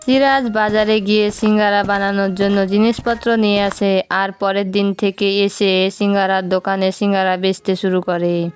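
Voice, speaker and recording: A woman's voice: medium pitch, level tone, moderate pace, soft background music. A woman narrating a scripted moral story for a cartoon.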